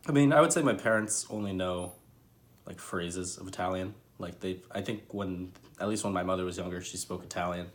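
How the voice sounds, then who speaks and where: Deep-voiced laid-back young man. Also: brisk. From New England. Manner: laid-back, shrugging, lots of "I mean". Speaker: interviewee. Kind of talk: casual interview answers.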